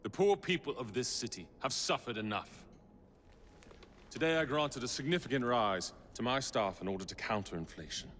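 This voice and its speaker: An actor playing a haughty, menacing Victorian tycoon who speaks in a measured, sardonic, theatrical way. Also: male voice, mid-range voice, expressive, fairly bright tone, a little hiss or hum, lightly acted. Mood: cold, mocking. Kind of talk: video game cutscene acting. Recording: music behind the voice.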